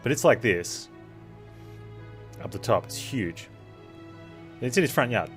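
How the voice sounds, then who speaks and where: A woman's voice: very deep voice, lively intonation, unhurried. A woman giving casual live commentary on a game, with rambling, offhand asides. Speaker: game streamer. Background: faint game music.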